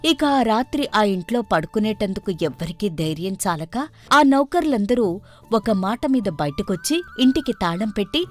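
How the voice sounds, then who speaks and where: A woman narrating a scripted story in an expressive storytelling manner. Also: medium pitch, moderate pace, faint music behind.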